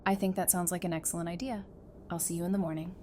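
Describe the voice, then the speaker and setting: A woman playing a caring, gently teasing young survivor in an audio drama. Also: lowish voice, fairly even intonation, fast talker, a little hiss or hum, close to an everyday voice. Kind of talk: fan audio drama acting.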